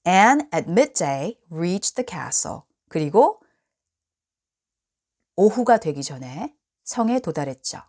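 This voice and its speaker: Very slow, lively woman storyteller. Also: lowish voice. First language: Korean. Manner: expressive, deliberate storytelling to children. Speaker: storybook reader and translator. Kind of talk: bilingual children's story read-aloud.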